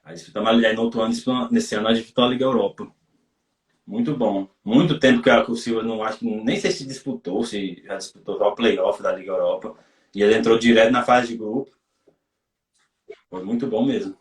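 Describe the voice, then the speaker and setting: A man's voice: lowish voice, quick pace. Man, Brazilian accent, casual interview talk, rambling and repetitive, echoey room, distant from the mic. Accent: Brazilian Portuguese. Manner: rambling, repeats himself, many restarts. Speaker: footballer guest. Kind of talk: casual interview over a live stream. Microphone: video call. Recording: roomy and far from the mic.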